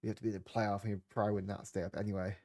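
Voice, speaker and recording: A man's voice: on the low side, little pitch movement, rapid-fire, a little mellow. A young man with a congested voice, casually narrating a football management game he is streaming, with laid-back, trailing asides. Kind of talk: casual gameplay commentary.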